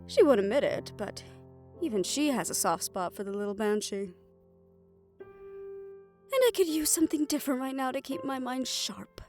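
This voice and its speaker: A woman playing a stern, protective female doctor who is softly fond of her listener, speaking in a measured, formal, faintly haughty way in an audio roleplay. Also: high voice, expressive, faint music behind. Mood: gentle, reflective. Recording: roomy echo.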